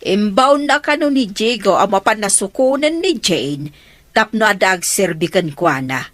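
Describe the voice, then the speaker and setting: An actress playing an exasperated mother in an Ilocano radio drama, reproachful and expressive. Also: mid-range voice, a little slow, dry recording. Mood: exasperated, scolding. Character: exasperated, scolding mother of grown daughters.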